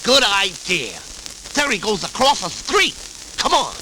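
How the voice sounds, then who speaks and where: Casting: blustery scheming cartoon tomcat, slobbery lisp, high and swooping, on a vintage record. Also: male voice, wildly swooping pitch, measured pace, sharp tone, a little hiss or hum, heavily acted, rough recording. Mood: amazed. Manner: blustery, exclamatory. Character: lisping, grumpy, scheming cartoon cat. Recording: vintage 78rpm record with music.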